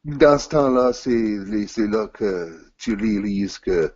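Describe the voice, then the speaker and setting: A man whose first language is English, speaking French haltingly in an interview over an audio call and searching for words with many restarts. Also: on the low side, a little mellow, highs cut off. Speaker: interview guest, team manager.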